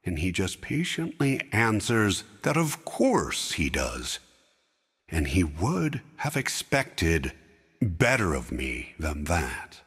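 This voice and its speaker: A man playing an aging, grief-bent king who slowly recalls a lost friend in a fan audio drama. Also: deep voice, huge pitch swings, a bit bright, slightly rough, in a large hall, exaggerated voice. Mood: grieving. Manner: slow, heavy reminiscing. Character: grieving aging king, stern yet sorrowful.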